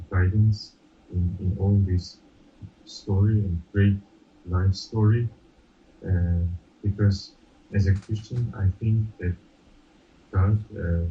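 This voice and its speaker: A young man speaks English in a very low, flat and unhurried voice, pausing often as he searches for his words. The tone is dark and mellow. The sound is dull and distant, with faint noise behind it. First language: Russian. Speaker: interview guest. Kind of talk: casual video-call conversation.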